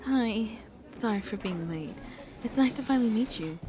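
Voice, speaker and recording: A woman playing a shy, sweet vampire on a first date in an ASMR roleplay, soft-spoken and hesitant, often dropping to a whisper. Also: fairly high pitch, expressive, moderate pace, noisy background, close to an everyday voice. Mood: friendly, nervous. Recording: crowd chatter in the background. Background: crowd chatter.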